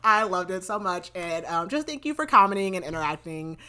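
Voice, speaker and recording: A woman co-hosting a book podcast, her voice sitting a little low. She chats casually, laughs easily and lets sentences trail off. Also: very animated, brisk, sharp tone. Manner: chatty, laughs often, trails off mid-sentence. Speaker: podcast co-host. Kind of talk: casual chat.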